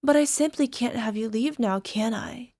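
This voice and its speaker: A woman's voice: on the high side, normal speed, dark tone, no echo, studio quality, lightly acted. A woman playing a lovesick, possessive young alchemist in an ASMR girlfriend roleplay, speaking in a coy, coaxing, sweetly menacing way. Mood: smug, calm. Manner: coy, coaxing, giggly, tag questions. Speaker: voice actor.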